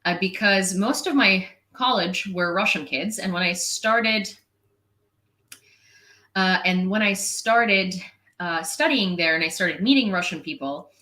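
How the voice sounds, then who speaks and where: A young woman from Ukraine, a native Ukrainian speaker, answers a viewer comment on camera in a frank, sarcastic vlog monologue, with filler words and the odd curse. Her voice is bright and forceful, and the room around her echoes. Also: medium pitch. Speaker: independent reporter and vlogger.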